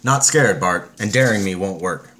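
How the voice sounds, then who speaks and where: An actor playing a wary, devout middle-aged American scientist and ex-soldier, steady and earnest. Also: male voice, sits low, normal speed. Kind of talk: audio drama acting.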